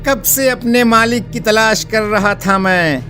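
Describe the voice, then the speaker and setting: A performer voicing a solemn, ancient male magic book spirit in an animated story. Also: medium pitch, measured pace, faint music behind. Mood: solemn, mysterious.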